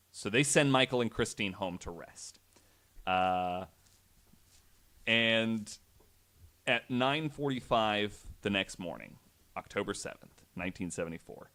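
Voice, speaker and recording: A man's voice: fairly low pitch, sharp tone. A man hosting a podcast, telling a true story in a conversational way with wry, incredulous asides. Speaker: podcast host.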